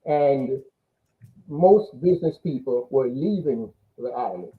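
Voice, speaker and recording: A man's voice: mid-range voice, lively intonation, slow, phone-quality sound. An older man from Jamaica with a Jamaican accent, telling his own story as a guest in an interview. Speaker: interview guest, business coach.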